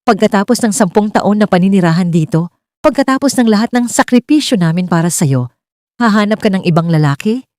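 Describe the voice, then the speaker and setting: A suspicious older mother-in-law in a story drama, put on by a woman. She is bitter, scornful and insinuating, her pitch pushed up as she accuses, in a dry recording. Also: lively intonation, fairly quick, dry recording, close to an everyday voice. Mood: angry, hurt. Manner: sharp-tongued, insinuating.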